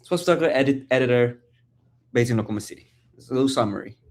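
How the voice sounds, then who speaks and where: A man's voice: medium pitch, rapid-fire. A man in his twenties from Malaysia, a guest on a podcast, talking casually and repeating words as he searches for them. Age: 20s. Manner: relaxed, chatty, repeats words when searching. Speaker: guest, sports photographer. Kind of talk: casual conversation.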